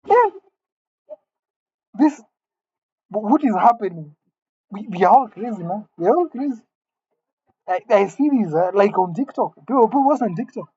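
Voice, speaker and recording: A man's voice: extremely high pitch, animated, moderate pace, long pauses, mellow, husky, no echo, lo-fi sound. A man in his late twenties musing aloud to the camera in a wry, rambling, self-mocking way, alone in an empty room. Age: late 20s. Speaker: vlogger. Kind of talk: musing solo monologue to camera.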